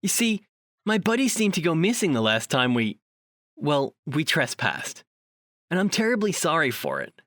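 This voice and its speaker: An actor playing a chatty, cocky male paranormal investigator in an audio drama, talking in a wordy, animated way. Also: a little high, normal speed, no room sound, studio quality, heavily acted. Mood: apologetic, pleading. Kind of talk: audio drama dialogue acting.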